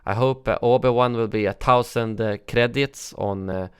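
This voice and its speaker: A man's voice: mid-range voice, fairly even intonation, normal speed, clean tone. A man hosting a gaming news livestream, chatting casually and answering viewers' chat with frequent fillers and self-corrections.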